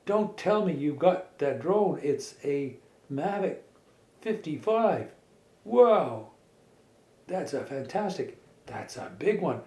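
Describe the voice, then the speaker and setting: A man voicing an excitable, flustered talking car in a children's story, spluttering and stammering. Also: fairly high pitch, slow pace, voice pushed up, heavily acted. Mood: amazed. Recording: echoey room with faint background noise.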